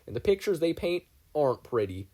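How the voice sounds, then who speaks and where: A reader voicing a teenage girl who speaks softly and reproachfully. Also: medium pitch, a little fast, pitched above the performer's own voice. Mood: soft reproach. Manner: soft, steady, reproachful.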